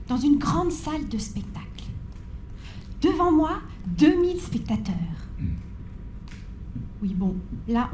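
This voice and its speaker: Woman, 40s, stage talk, wry dramatic storytelling, high voice, echoey hall. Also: mellow. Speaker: conference speaker. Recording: echoey hall with faint background noise.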